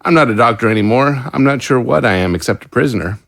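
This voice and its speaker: An actor playing a guilt-ridden, resigned scientist held prisoner in an audio drama, speaking formally and articulately with regret. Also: male voice, mid-range voice, expressive, fast, vocal fry, fairly bright tone, no room sound, studio quality. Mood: resigned, grim.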